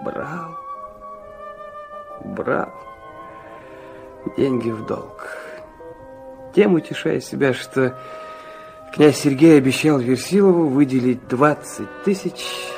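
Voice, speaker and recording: A man's voice: mid-range voice, lively intonation, slow pace, creaky, long pauses, music in the background. An actor playing an ardent, touchy, impulsive young man who narrates his own story fervently in a radio drama. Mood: confessional, agitated. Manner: fervent, dramatic, emphatic repetitions.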